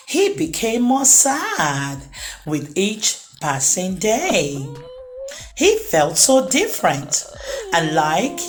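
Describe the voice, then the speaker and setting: Woman, children's read-aloud host, unhurried careful phrasing, animated swooping pitch, music underneath. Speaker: storytime host and narrator. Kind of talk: children's storybook read-aloud, rhyming narration.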